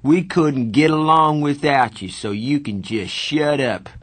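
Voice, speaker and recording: A man voicing a tough, protective older teenage boy in an audiobook, talking slangily and forcefully. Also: lowish voice, takes it slowly. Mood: fierce.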